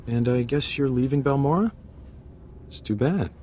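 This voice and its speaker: A man's voice: medium pitch, moderate pace, darkish tone, a little hiss or hum, natural voice. A man playing an easygoing undercover operative in a video game, talking informally with an earnest, hesitant manner. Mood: wistful. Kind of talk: video game voice acting, casual dialogue.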